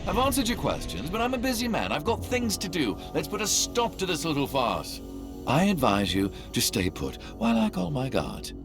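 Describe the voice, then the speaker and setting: An actor playing a sly, cornered arms dealer in a video game, smug and menacing, with a drawling, theatrical delivery. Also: male voice, a little high, huge pitch swings, normal speed. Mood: blustering, threatening. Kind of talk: video game cutscene acting. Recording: music behind the voice.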